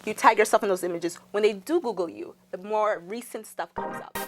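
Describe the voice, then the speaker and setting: A woman's voice: medium pitch, a little fast, over music. A woman guest on a talk show, explaining and giving advice in a casual, earnest way with some fillers. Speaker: guest on social media.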